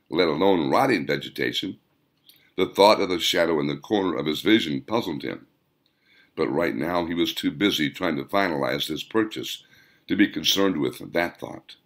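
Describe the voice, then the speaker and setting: Male audiobook narrator, low gravelly voice, dramatic emphasis, scripted storytelling. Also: a bit bright. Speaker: author narrating own audiobook.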